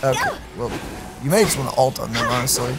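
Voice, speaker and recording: A woman's voice: a bass voice, very animated, brisk. A young woman hosting a let's play, giving casual, joking reactions to a game. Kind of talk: casual gameplay reaction commentary. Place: small indoor room, streaming setup. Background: game music.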